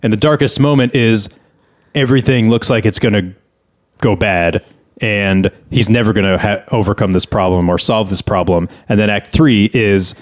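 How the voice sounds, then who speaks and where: A man's voice: on the low side, moderate pace. A man co-hosting a podcast in casual banter, critiquing a script in an explanatory way, often restarting mid-thought. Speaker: podcast co-host.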